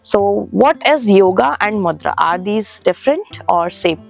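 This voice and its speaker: Gravelly-voiced woman interviewer. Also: mid-range voice, lively intonation, a little slow, faint music behind. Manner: encouraging, frequent short "okay" and "yeah" acknowledgements. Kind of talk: interview questions.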